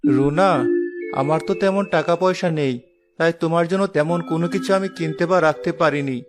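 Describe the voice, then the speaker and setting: A slightly high male voice of a young, humble and pious imam acted by a man in a cartoon romance tale. Music plays clearly behind him. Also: brisk, natural voice. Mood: humble, tender. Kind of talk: cartoon story voice acting.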